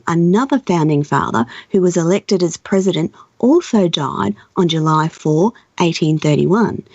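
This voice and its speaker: Croaky-voiced woman radio host. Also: lowish voice, a little mellow, no room sound, highs cut off. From Australia. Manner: chatty, reads facts aloud steadily. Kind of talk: hosting and reading a history piece. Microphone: studio microphone.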